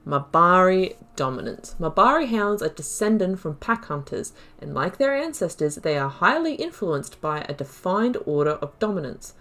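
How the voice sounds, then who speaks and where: A woman's voice: fairly low pitch, sing-song, moderate pace, few pauses, fairly bright tone. A woman hosting a gaming video, chatting casually through a playthrough and reading lore aloud.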